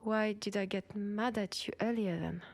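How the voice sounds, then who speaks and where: A woman with a French accent playing an ambitious, protective cyberpunk cop in an intimate sci-fi audio roleplay, with soft, breathy delivery. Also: medium pitch, fairly even intonation, moderate pace, no echo, close to an everyday voice. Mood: protective, earnest. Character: ambitious, protective female cyberpunk cop, senior partner to a rookie. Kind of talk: intimate sci-fi roleplay acting, one side of a dialogue.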